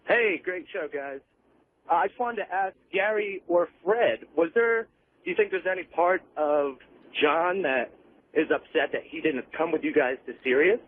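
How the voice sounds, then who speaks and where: A man's voice: fairly high pitch, moderate pace. A man calling in to a radio show by phone and casually asking a question. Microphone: telephone. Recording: tinny phone line with faint noise.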